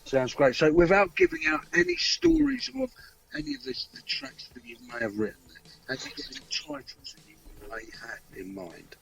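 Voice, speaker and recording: A man with a croaky voice, asking questions as co-host of a casual music radio interview. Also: fairly high pitch, wildly swooping pitch, fairly quick, a bit bright, a little hiss or hum, poor audio.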